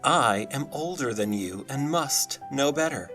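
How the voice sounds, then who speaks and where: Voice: medium pitch, animated, a little slow, a bit bright. Stubborn older bird, sulky and superior, audio drama, faint music behind.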